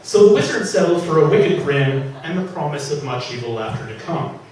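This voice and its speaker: A man with a slightly high voice reads comic fantasy aloud as its author. His delivery is theatrical and emphatic. It is recorded from far off in a very echoey room, with faint noise behind. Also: animated, a little fast, a little mellow. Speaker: author reading from own novel. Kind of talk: live dramatic reading of comic fantasy fiction. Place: public reading at an indoor venue.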